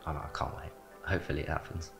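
A man's voice: a bass voice, flat delivery, fast, vocal fry. A young man in his late teens from south London with a South London accent, a young footballer answering interview questions in short, plain replies with "like" as filler. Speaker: academy footballer. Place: indoor club media studio. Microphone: handheld mic. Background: background music.

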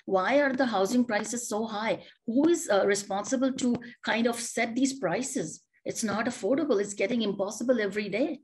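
A woman's voice: on the high side, normal speed, few pauses, darkish tone, low-quality recording. A middle-aged woman speaking earnestly as a panelist on a video call, asking many rhetorical questions and often restarting her sentences. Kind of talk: panel discussion remarks. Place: home office, indoors.

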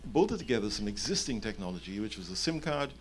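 A man's voice: lowish voice, very animated, fast. A man in his fifties speaking as an executive panelist, explaining concrete points at a conference into a handheld microphone. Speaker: panelist, company chief executive. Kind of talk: panel discussion talk, explaining. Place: indoor conference event on a stage.

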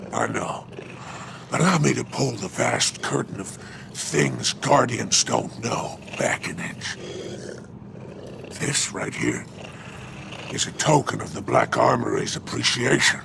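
A man's voice: medium pitch, wildly swooping pitch, takes it slowly, long pauses, background noise, full character voice. A man voicing a sly, hulking alien crime boss in a video game, with a growling, drawling, theatrical and mock-friendly delivery. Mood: sly, menacing. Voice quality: gravelly, growling. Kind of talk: video game character dialogue. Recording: music under the voice.

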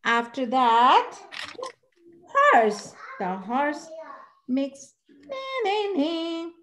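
A middle-aged woman teaching an online preschool class on a video call, animated and coaxing, singing out animal sounds for the children. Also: high voice, extremely slow. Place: classroom-like room with posters, indoors.

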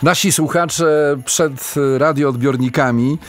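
An actor playing a polite, hesitant host of a live radio phone-in in a radio play. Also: male voice, fairly high pitch, takes it slowly, soft background music. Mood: amazed, grateful. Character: polite, amazed radio call-in show host.

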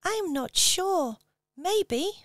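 A woman's voice: very high-pitched, animated, very slow, fairly bright tone, exaggerated voice. A woman voicing a polite polar bear. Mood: meek. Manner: meek, polite.

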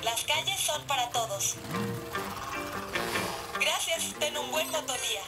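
A woman's voice: high voice, animated, slow. A woman voicing a polite robotic camera in a children's cartoon, speaking in formal, courteous announcements. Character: polite female robotic camera drone acting as referee and officer. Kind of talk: animated children's cartoon dubbing. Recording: loud music behind the voice.

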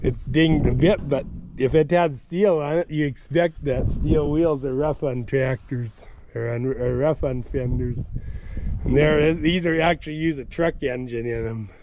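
A man's voice: mid-range voice, a little slow, phone-quality sound, noisy background. A man giving casual walkaround commentary outdoors at a farm auction, plain-spoken, thinking aloud and often restarting his sentences. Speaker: tractor vlogger touring an auction. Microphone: camera carried at hand, wide angle.

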